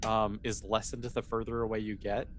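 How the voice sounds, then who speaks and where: A man narrating a tabletop sci-fi game as game master, haltingly, often restating his phrases. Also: fairly low pitch, level tone, slight background noise.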